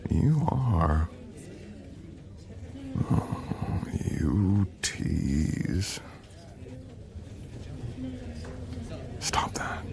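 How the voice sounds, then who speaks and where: A man playing a menacing, possessive supernatural boyfriend in a romantic ASMR roleplay, speaking in an intimate, brooding and often breathy way. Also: heavily acted. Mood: reluctantly amused. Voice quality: deep, gravelly, often breathy and whispered. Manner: slow, brooding, teasing intimate delivery.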